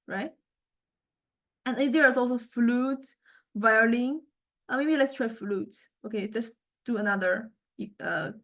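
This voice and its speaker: A woman lecturer giving an informal software demonstration, thinking aloud in a halting way as she goes. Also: on the high side. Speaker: music lecturer.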